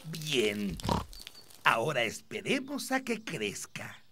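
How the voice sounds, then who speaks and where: Gentle, swooping old grandpa pig. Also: male voice, medium pitch, wildly swooping pitch, slow pace, over loud music. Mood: kindly, patient. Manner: gentle, encouraging, explanatory. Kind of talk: preschool cartoon dubbing.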